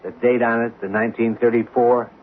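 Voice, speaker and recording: A man's voice: medium pitch, level tone, a little fast, close to an everyday voice. An actor playing a down-on-his-luck trucker, weary, bitter and plain-spoken, in an old-time radio drama. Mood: disheartened. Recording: noisy lo-fi narrow-band radio broadcast.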